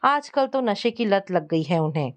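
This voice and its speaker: A woman's voice: medium pitch, very animated, normal speed, natural voice. Woman voicing a frank, concerned sister-in-law. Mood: admonishing.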